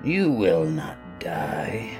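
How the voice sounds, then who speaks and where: A man voicing a monstrous earwig creature with a face inside it, speaking in a deep, buzzy hiss, slow and cold as it bargains. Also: big pitch swings, music in the background, heavily acted. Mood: menacing. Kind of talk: horror story narration.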